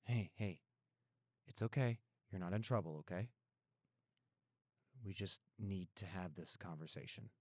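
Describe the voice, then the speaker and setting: A man playing a caring, apologetic young boyfriend in an intimate roleplay audio, speaking earnestly and gently. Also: low voice, measured pace, long pauses, no echo. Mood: gentle, soothing. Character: apologetic, caring young boyfriend soothing his upset girlfriend.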